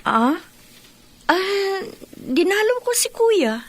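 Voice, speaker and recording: A woman playing a caring, persistent younger sister in a radio drama, earnest and gentle. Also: very high-pitched, very animated, extremely slow, heavily acted. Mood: friendly, hesitant.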